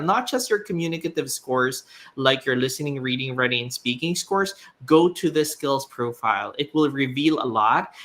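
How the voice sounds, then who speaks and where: Bright-voiced male tutor explaining. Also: mid-range voice. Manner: measured explanatory, occasional restarts. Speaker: exam tutor. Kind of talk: live stream guest answering a question.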